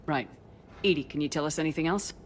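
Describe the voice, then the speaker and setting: A woman playing a resolute military space commander in a video game, brisk, businesslike and commanding. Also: sits low, slight background noise, lightly acted. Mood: urgent, commanding.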